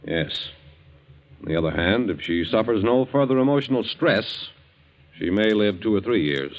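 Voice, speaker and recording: An actor playing a grave, frank family doctor with a measured, authoritative manner in a 1940s radio drama. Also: male voice, on the low side, lively intonation. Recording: dull 1940s radio broadcast recording.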